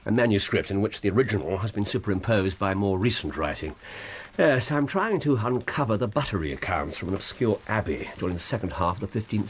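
An English actor playing an erudite, wry gentleman detective in a radio drama, precise and theatrical. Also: on the low side, a little hiss or hum. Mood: absorbed, erudite. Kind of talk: BBC radio drama acting.

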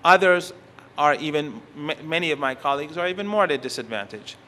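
A middle-aged man whose first language is Persian, giving an academic conference talk, halting often and restarting his phrases. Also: on the high side, sharp tone, slight background noise. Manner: halting, restarts phrases, stresses key words. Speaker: conference speaker. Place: university conference hall.

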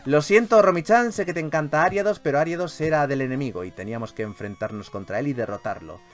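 A man with a Castilian accent from Spain giving excitable, sweary let's play commentary as a gaming YouTuber. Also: a little high, quick pace, soft background music. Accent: Castilian Spanish from Spain. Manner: animated, expressive, swears freely.

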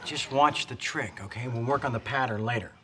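A man's voice: mid-range voice, brisk, lightly acted. A man playing a laid-back sitcom character casually and patiently showing off a trick. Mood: casual, playful. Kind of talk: television sitcom. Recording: TV clip with clear background noise.

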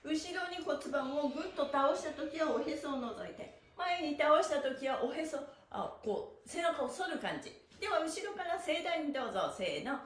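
A woman's voice: sits high, animated, normal speed, few pauses. A middle-aged woman leading an upbeat stretching class, cheerful and encouraging, with rhythmic sing-song chants. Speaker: stretching instructor. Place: small bare-walled room indoors, on a floor mat. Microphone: camera a few metres away. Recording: roomy echo, distant microphone.

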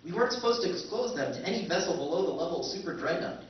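Guy playing a nervy joking soldier, high voice bouncing all over, roomy echo, dull sound. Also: expressive, very fast. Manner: jittery, joking. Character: nervous, wisecracking stranded human soldier. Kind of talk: live old-time radio recreation on stage. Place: hotel function room stage with audience. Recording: echoey hall from far off, dull.

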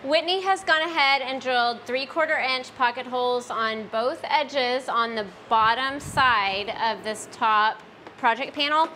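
A woman's voice: sits high, measured pace, sharp tone, slight background noise. A woman co-hosting a woodworking tutorial, narrating steps and trading playful, teasing quips. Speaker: co-host. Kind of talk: scripted voice-over and banter.

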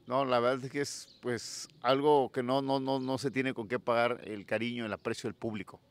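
A Mexican man interviews a local singer in an outdoor plaza, holding a handheld mic. His voice has a gravelly edge at an ordinary pitch. He is friendly and chatty, sending shout-outs to people who are watching. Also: steady tone, moderate pace. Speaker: interviewer, channel host. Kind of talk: casual street interview. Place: town plaza outdoors at dawn.

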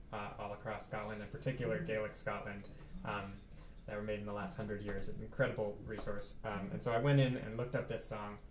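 A man's voice: lowish voice, slight background noise. Man chatting between tunes, echoey room. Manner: relaxed, thoughtful, many fillers and restarts. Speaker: pianist. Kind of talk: casual talk between tunes. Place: living room house concert, small audience.

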